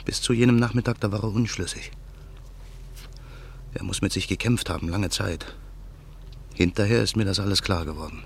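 An actor playing an embittered man who waits through the night for revenge, speaking his inner monologue slowly and in a hushed voice in a radio play. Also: low-pitched, gravelly, a little hiss or hum. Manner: slow, brooding, hushed. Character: embittered man awaiting revenge, male adult. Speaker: narrator and main character.

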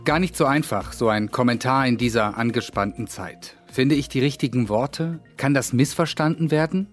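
A man's voice: medium pitch, moderate pace. A man working as a foreign correspondent, delivering scripted radio commentary. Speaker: Middle East correspondent. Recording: music bed behind voice. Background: music.

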